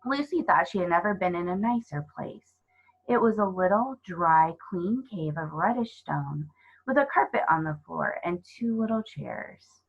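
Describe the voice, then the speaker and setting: A woman narrating a children's storybook as a reader, in an expressive scripted style. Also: lowish voice, measured pace, breathy edge, lo-fi sound.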